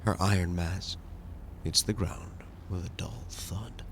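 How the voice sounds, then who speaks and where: A man narrating a video game playthrough in a measured, dramatic storytelling way. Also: low voice, steady tone, in a whisper. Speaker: host and voice actor of a game playthrough.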